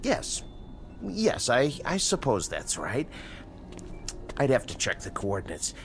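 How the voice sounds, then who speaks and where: An actor playing a calm, self-satisfied American scientist, soothing and a little condescending, in a radio drama. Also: male voice, mid-range voice, slow, slight background noise, heavily acted. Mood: patronizing.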